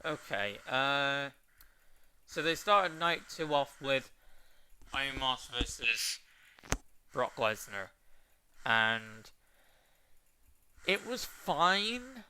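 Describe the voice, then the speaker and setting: A man giving a casual review, unhurried and trailing off into fillers as he weighs his opinions. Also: mid-range voice, lively intonation, bright, forceful tone, a little hiss or hum. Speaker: wrestling show reviewer.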